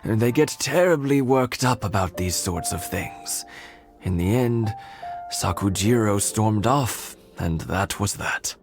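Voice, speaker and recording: An actor playing a kindly old husband in a game, telling his story unhurriedly, with soft chuckles. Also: lowish voice, takes it slowly, gravelly. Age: older. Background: game music.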